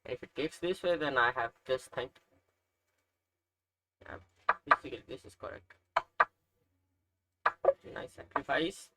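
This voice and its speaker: A man's voice: medium pitch, unhurried, pauses often, sharp tone, low-quality recording. Nepali-accented young man thinking aloud. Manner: thinking aloud, hesitant with frequent uhs and self-corrections. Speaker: chess learner narrating puzzles. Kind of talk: casual screen-recorded commentary.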